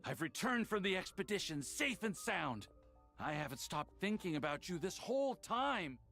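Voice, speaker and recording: A man's voice: sing-song, normal speed, fairly bright tone, soft background music, heavily acted. A man playing an anxious, lovesick young knight in a video game, hesitant and agonizing. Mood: anguished. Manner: hesitant, agonizing, swinging in pitch. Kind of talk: animated video game acting.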